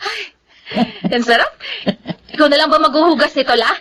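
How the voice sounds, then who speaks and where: An actress playing a casual, cheeky young grandchild in a Filipino audio drama. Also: female voice, high-pitched, expressive, moderate pace, fairly bright tone, slight background noise. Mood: breezy.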